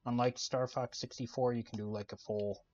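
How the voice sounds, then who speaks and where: A soft-spoken man giving casual, relaxed commentary while playing a video game, with lots of "uh" fillers. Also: medium pitch, brisk, muffled recording. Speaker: gaming channel host. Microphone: desk microphone.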